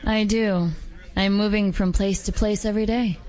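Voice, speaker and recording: A woman voicing a polite, gentle female werewolf dancer who is secretly an assassin, in a hobby audio drama. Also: medium pitch, flat delivery, normal speed, lightly acted, poor audio. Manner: polite, gentle, softly coaxing.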